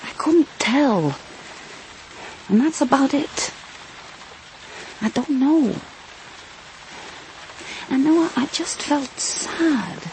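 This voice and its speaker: A woman's voice: sits high, animated, very slow, long pauses, a little mellow, a little hiss or hum, full character voice, low-quality recording. An actress playing an earnest, perceptive policewoman in a radio crime drama, thoughtful and a little hesitant. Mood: pensive, sad.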